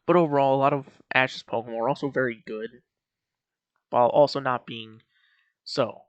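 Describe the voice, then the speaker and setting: A man's voice: medium pitch, fairly even intonation, normal speed, clean tone, dry recording, highs cut off. A man hosting a casual, opinionated video commentary, speaking emphatically as he ranks and critiques. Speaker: video host giving opinions on an anime series. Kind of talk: casual commentary ranking a cartoon series.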